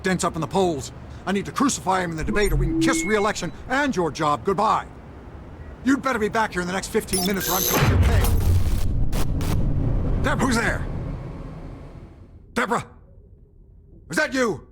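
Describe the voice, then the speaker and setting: An actor playing a corrupt middle-aged mayor in a video game, blustering, indignant and easily rattled. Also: male voice, high-pitched, expressive, moderate pace, pauses often, background noise. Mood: irritable, bossy. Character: corrupt, panicky middle-aged male city mayor, blustering and self-serving. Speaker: politician character. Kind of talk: dramatic video game acting.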